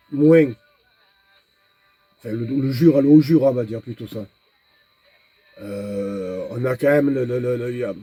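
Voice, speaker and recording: A man's voice: mid-range voice, mellow, husky, dry recording. A man vlogging in a casual, rambling monologue, talking straight to the viewer and often repeating words while he searches for the next one. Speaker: nomad vlogger.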